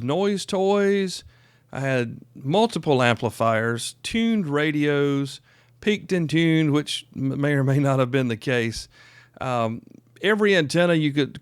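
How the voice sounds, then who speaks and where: Higher-voiced man hosting a casual podcast. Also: clear, resonant tone, dry recording, clean studio sound. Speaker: podcast host. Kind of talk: casual solo podcast talk.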